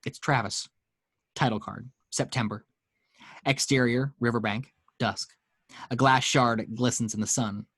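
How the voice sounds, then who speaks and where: A man's voice: mid-range voice, steady tone, a little slow, a bit bright, breathy edge, dry recording, studio quality. A man reading action descriptions as narrator in a dramatic screenplay table read. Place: at home.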